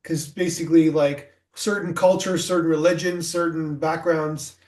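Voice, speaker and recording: Higher-voiced man hosting, rambling questions. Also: little pitch movement, normal speed, a little mellow. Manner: rambling, filler-heavy questions with many likes and rights. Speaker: podcast host. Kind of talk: casual interview chat.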